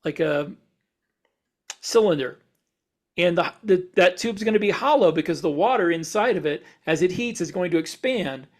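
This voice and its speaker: High-pitched man explaining things casually. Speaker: podcast co-host. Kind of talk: casual speculative discussion, explanatory.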